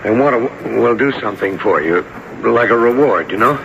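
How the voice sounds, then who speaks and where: An actor playing a kindly father who speaks gently and haltingly to his young son in a 1970s radio drama. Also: fairly low pitch, expressive, creaky. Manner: halting, gentle, fumbling for words. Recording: dull, noisy old radio recording.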